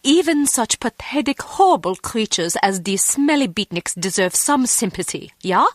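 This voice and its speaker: A vain German cabaret villainess for a video game. Her high voice sweeps up and down as she talks with grand, condescending menace. The sound is close and dry. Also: big pitch swings, normal speed, no room sound. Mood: grandiose, aggrieved. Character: vain, menacing German villainess and self-adoring club singer.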